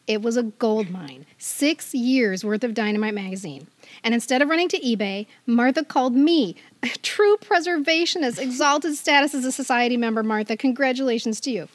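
High-voiced American woman podcast host. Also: lively intonation, normal speed, no room sound. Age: 50s. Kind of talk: casual podcast chat and storytelling.

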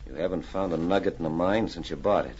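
An actor playing a smooth-talking gambler courting a woman in 1940s radio drama style. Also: deep voice, quick pace, a little mellow, lightly acted. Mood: smooth, insistent. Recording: muffled, hissy old radio recording.

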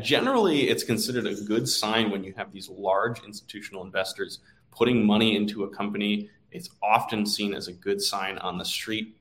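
A man's voice: lowish voice, fairly bright tone. A man co-hosting a stock market show, talking through market commentary in a conversational style.

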